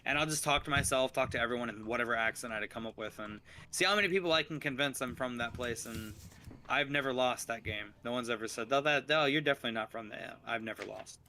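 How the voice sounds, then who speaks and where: A man's voice: medium pitch, fast talker, bright, forceful tone, slight background noise. A man chatting playfully with fellow tabletop players, phrasing things a little formally. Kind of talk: casual chat at a tabletop game.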